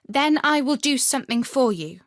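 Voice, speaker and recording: A woman's voice: high-pitched, expressive, moderate pace, dry recording, clean studio sound, pitched above the performer's own voice. A woman voicing a bossy, wilful little robber girl, fierce but fond, with imperious emphasis in a children's fairy tale. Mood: conspiratorial, commanding.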